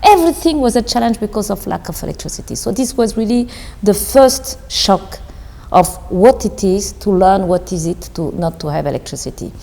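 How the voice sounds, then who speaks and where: A woman in her forties, a company CEO, answering interview questions thoughtfully, with pauses and restarts. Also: mid-range voice, expressive, gravelly, dark tone, long reverb tail. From Belgium, Rwanda and DR Congo. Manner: thoughtful, halting, with frequent restarts and uh's. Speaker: CEO of a solar company, guest.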